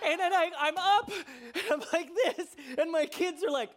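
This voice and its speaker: A man preaching to his congregation as their pastor. He tells personal stories with real animation, his voice climbing high as the tension builds, then lands his points by repeating them with emphasis. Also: normal speed, background noise. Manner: animated storytelling, voice climbing high when excited; emphatic, repeating key phrases. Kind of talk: sermon.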